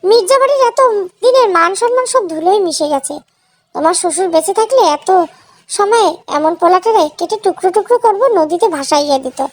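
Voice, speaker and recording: A woman plays an outraged mother-in-law from an audio drama story. Her voice is extremely high. She scolds and laments with wounded family pride. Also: expressive, moderate pace, no room sound. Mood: outraged, despairing. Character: outraged, wailing mother-in-law of a proud household.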